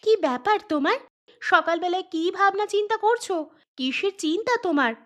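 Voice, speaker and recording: A woman's voice: very high voice, lively intonation, a little fast. A woman voicing a sceptical, exasperated village housewife in a cartoon, questioning and scolding expressively. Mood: inquisitive. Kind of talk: cartoon voice acting.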